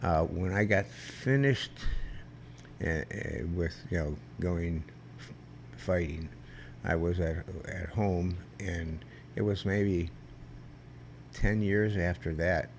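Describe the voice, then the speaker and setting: An older man, a veteran guest, telling stories in a rambling, halting way with many restarts and a loud voice that carries. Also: low-pitched, slight background noise. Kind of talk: casual storytelling interview.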